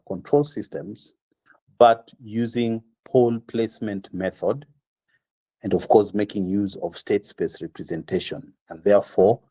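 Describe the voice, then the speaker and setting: A slightly hoarse man giving a university lecture, explaining step by step with frequent pauses and fillers. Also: deep voice, mellow. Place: classroom.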